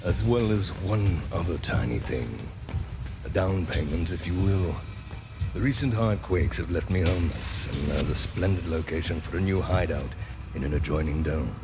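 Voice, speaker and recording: An oily, scheming guild broker for a video game. His voice sits extremely low and his manner is smooth and courtly. Loud dramatic music plays behind him. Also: animated, normal speed. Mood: sly, ingratiating. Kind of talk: cinematic video game acting.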